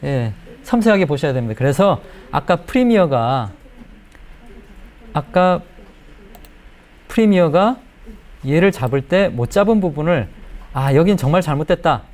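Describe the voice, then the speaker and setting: Higher-pitched middle-aged man teaching software. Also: expressive, a little slow, long pauses. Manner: explanatory, step by step, often checking with rhetorical questions. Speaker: video editing instructor. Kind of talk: spoken screen-recorded software tutorial.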